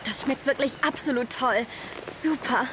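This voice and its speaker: An actress playing a polite young holiday guest in a radio play, breezy and slightly flustered. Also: female voice, high voice, moderate pace, noisy background, close to an everyday voice. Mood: delighted, friendly. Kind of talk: German radio play acting. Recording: radio play with outdoor ambience.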